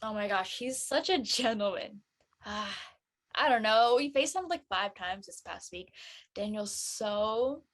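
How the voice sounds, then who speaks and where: A woman playing a chatty, curious high school girl in a casual script reading. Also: unhurried, a bit bright.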